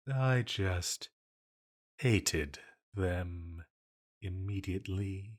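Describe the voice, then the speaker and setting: An ancient villain from an audio drama , acted by a man, speaking in a low register. He is elegant and menacing with a fond streak, and takes his time over every formal phrase, leaving deliberate pauses. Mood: cold, reminiscing. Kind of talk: scripted intimate audio roleplay.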